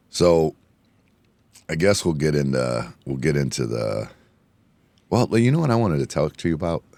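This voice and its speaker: A hoarse man hosting a casual bar-talk show, rambling and excitable, often repeating himself. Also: extremely low pitch, a little slow, long pauses, no room sound. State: hoarse from yelling. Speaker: podcast host. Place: indoor room, bar setting.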